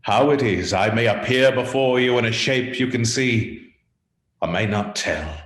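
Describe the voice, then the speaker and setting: A man's voice: mid-range voice, lightly acted. A man acting the mournful, remorseful ghost of a dead businessman in a radio play, with solemn, swelling declamation. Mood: solemn warning. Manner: solemn, drawn-out declamation with big swells and hushed drops. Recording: roomy, echoing sound.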